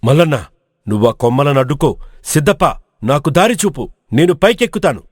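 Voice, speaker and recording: A man's voice: fairly high pitch, very animated, a little fast, a little mellow, no echo, clean studio sound. A man playing a brave, resolute village hero in an audio storybook, speaking in an earnest, commanding way. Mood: urgent, commanding. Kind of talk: dramatic audio storybook acting.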